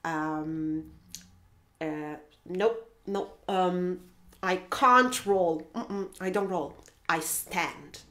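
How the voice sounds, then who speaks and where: A woman voicing a mild, nice male flamingo who is halting and politely firm in a children's story. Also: on the low side, a bit bright, pitched below the performer's own voice. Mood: reluctant. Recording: roomy, echoing space.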